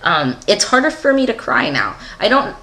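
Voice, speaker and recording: A man's voice: high-pitched, huge pitch swings, normal speed, sharp tone. A young adult man giving a casual, candid personal video update in a rambling, giggly, self-deprecating way. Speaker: vlogger.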